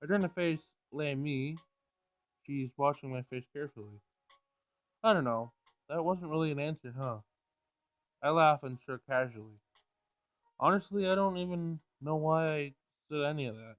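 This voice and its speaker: A man's voice: medium pitch, no echo. A man reading a visual novel aloud as he plays, sometimes stumbling over words and rereading lines. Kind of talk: Let's Play read-aloud of game text.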